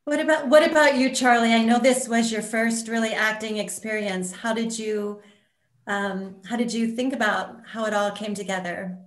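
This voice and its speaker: High-ish woman in echoey room. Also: steady tone, normal speed. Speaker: moderator. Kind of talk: casual chat. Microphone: computer on a video call. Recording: echoey room over video call.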